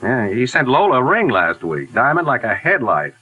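A man's voice: medium pitch, huge pitch swings, normal speed, phone-quality sound. An actor playing a chatty former vaudeville man who now runs a revue, talking in folksy slang in a 1940s radio drama. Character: eager ex-vaudeville revue leader, adult man.

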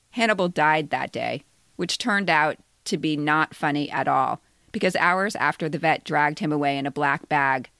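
A woman in her fifties, a podcast essayist, reading her own personal story with deadpan humor. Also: sits low, no room sound, clean studio sound. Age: 50s.

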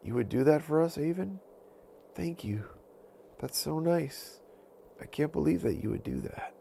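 A man's voice: on the high side, expressive, measured pace, vocal fry, dark tone, slight background noise, voice pushed up. A man voicing a polite, downtrodden hungry wolf in a children's story, earnest and pleading. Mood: grateful, amazed.

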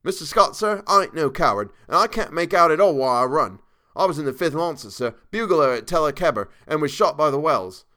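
A man acts out a young Cockney servant in an audiobook tale. He pitches high and loud, an indignant ex-soldier who stays deferential. His speech is uneducated and emphatic. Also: lively intonation, a little fast. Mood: embarrassed, defensive.

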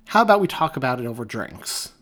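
A man voicing an easygoing, reckless male police partner who shouts in exasperation and then talks his friend round, in an audiobook. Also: medium pitch, very animated, fast. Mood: coaxing. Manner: loud, exasperated then coaxing.

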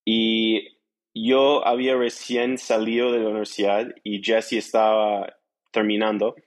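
Man, American accent, native English speaker, slightly low voice, casual interview storytelling, small grammar slips. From United States. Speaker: interview guest, startup entrepreneur.

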